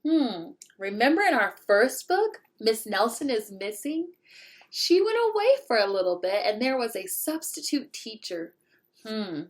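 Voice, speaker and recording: A woman reading a picture book aloud to young children in an expressive way, pausing to ask the listeners questions. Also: a little high. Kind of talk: animated children's read-aloud with asides to the listeners.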